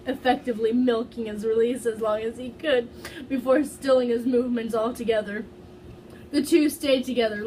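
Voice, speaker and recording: A woman with a fairly high voice reads aloud in an amateur dramatic style. She is giggly and trips over words, dropping into casual asides. There is faint noise behind her. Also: darkish tone, rough recording. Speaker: fan fiction reader.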